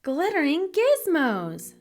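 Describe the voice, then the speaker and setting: A woman voicing a helpful, excitable little robot friend in a children's storybook read-aloud. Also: sits high, slow pace, pitched above the performer's own voice, heavily acted. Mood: excited.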